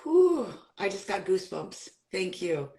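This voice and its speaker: A woman's voice: mid-range voice, big pitch swings, a little slow, dark tone. A woman hosting a live video-call panel, welcoming guests in an upbeat way. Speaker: panel host, company founder.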